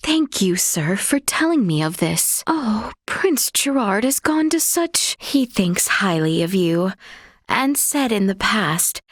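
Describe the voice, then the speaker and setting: A woman's voice: medium pitch, sing-song, slow pace, no room sound, clean studio sound. A woman playing a gracious, earnest young royal in a fantasy video game, speaking in a formal, polite, courtly manner. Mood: intrigued, honored.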